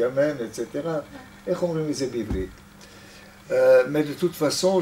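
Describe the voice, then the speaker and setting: An older man, speaking French as an acquired language, gives a halting, careful talk to an audience. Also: mid-range voice, darkish tone, a little hiss or hum, poor audio. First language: not French. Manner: halting, precise, with frequent pauses. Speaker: guest speaker. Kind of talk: lecture to an audience.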